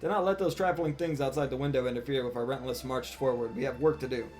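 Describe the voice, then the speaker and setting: A man's voice: mid-range voice, steady tone, fast, a little mellow, close to an everyday voice. A man voicing a self-important talking cat on a solemn mission from a video game, in archaic, formal words. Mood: pompous, resolute. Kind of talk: reading game dialogue aloud. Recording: music behind the voice.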